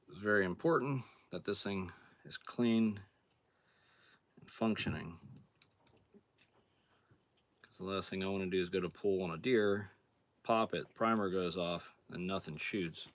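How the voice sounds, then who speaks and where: A hoarse man clearing his throat as he explains a cleaning job step by step in a relaxed, plain-spoken way, sometimes moving away from the camera mic. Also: low voice, slow, long pauses, no echo. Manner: relaxed, plain-spoken, thinking aloud while working. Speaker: outdoors channel host. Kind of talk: casual hands-on demonstration.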